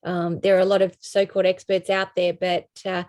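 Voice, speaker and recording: A woman's voice: on the low side, level tone, a little fast, darkish tone, no room sound. Earnest woman, dry close sound. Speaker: buyer's advocate. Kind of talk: casual video-call discussion. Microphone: video call.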